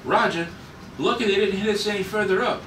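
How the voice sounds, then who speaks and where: A man's voice: sits high, brisk, natural voice. A man playing an eager, obedient young space-ranger co-pilot in a table read of a retro sci-fi serial. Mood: brisk, concerned. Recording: roomy, distant mic with loud noise.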